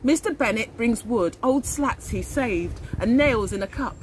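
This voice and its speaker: A middle-aged woman reads a children's picture book aloud in an expressive teacher's manner. Her voice is fairly high and swoops with lively intonation as she addresses the children. She is recorded outdoors in a garden with faint background noise. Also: unhurried. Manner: animated, addressing children with questions. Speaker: early years teacher.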